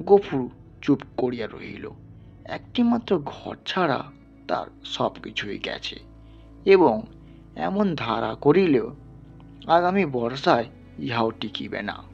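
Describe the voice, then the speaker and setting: A man's voice: mid-range voice, big pitch swings, slow pace, gravelly, pauses often, a little mellow, muffled recording. A man reading a literary story aloud as dramatic narration. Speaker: story reader. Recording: band-limited, music underneath.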